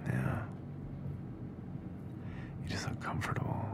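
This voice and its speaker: A man playing a tender, teasing young date in an intimate ASMR roleplay, murmuring softly in a breathy, gravelly whisper. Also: takes it slowly, close to an everyday voice.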